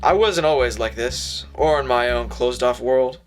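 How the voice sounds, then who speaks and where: An actor playing a gloomy, self-pitying slam poet who narrates a noir film in melodramatic, confessional monologue. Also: male voice, medium pitch, moderate pace, lightly acted. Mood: reminiscing. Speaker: narrating lead character. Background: music.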